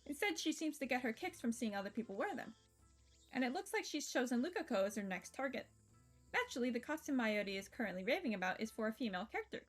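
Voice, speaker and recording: A young woman streamer reading a visual novel aloud in a fairly high voice. Her narration is casual, broken up by laughs and the odd swear word. Faint game music sits underneath. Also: sing-song, fast talker.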